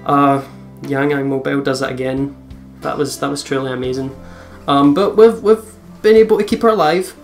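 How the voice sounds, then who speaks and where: Casting: gamer host, medium pitch, casual and sweary commentary, faint music behind. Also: moderate pace, few pauses. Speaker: let's play host. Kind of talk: casual game commentary and script reading.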